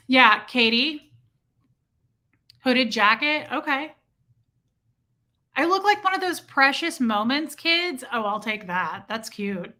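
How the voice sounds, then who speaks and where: Woman livestream host, casual and chatty, slightly high bright voice. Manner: chatty, reacts to viewer comments. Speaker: livestream host reading chat. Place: home room with a heater.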